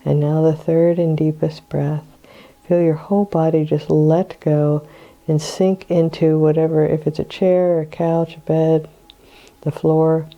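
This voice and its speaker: An older woman with a cold, hoarse and clearing her throat, guiding a meditation in a slow, soothing way. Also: low-pitched, level tone, lo-fi sound. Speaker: meditation guide. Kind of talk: guided meditation.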